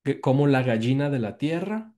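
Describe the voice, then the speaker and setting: A Mexican-accented man presenting research to an online group. His voice is dark and mellow at an ordinary pitch. He explains step by step, repeats key words and asks for agreement as he goes. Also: expressive, moderate pace. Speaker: guest lecturer presenting research. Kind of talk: academic presentation on a video call. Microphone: video call microphone.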